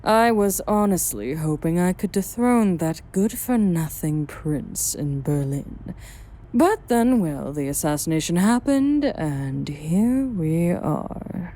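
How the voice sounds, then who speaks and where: A woman's voice: on the low side, lively intonation, slow, mellow, slight background noise. A woman playing a worldly, guarded vampire sire in an audio drama, explaining things in dramatic phrasing. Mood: bitter, brooding. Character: self-exiled female vampire sire, worldly, guarded, brooding.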